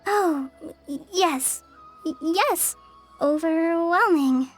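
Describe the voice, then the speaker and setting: A woman's voice: extremely high pitch, very slow, soft background music, heavily acted. A woman voicing a shy, weary young female forest ranger who stammers hesitantly. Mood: flustered. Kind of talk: game voice acting.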